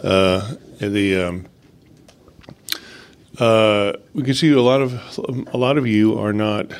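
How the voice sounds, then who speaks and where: A man with a fairly low voice opens a conference panel as its committee chair. He talks in an easygoing, self-deprecating way and leans on "uh" and "um" between phrases. It sounds like a meeting room. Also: steady tone, slow pace, clean tone. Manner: filler-heavy, self-deprecating. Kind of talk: opening remarks on a conference panel.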